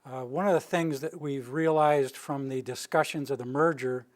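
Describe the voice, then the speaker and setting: An older man near retirement, from the Rocky Mountain region, giving an informal public presentation in a meeting room with a steady, monotone delivery. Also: mid-range voice, normal speed. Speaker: utility planning director giving a public presentation. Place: meeting room with a live audience.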